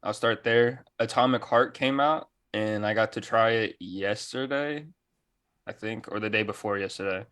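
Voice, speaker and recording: A man's voice: on the low side, moderate pace, fairly bright tone, clear, resonant tone. A man chatting casually as a gaming podcast co-host, enthusiastic, with plenty of slang and swearing.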